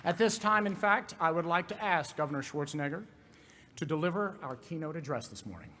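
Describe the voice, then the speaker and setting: A university president reading a formal degree citation in a measured, ceremonial manner. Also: male voice, on the high side, lively intonation, crowd noise, background noise.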